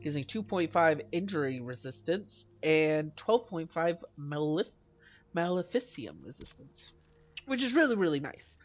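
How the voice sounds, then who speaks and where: A man's voice: a little high, very animated, unhurried, dull audio. Stuffy-nosed man narrating a game. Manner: rambling, self-correcting, talking through game stats. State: stuffy nose from a cold. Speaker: let's play host. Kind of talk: casual gameplay commentary.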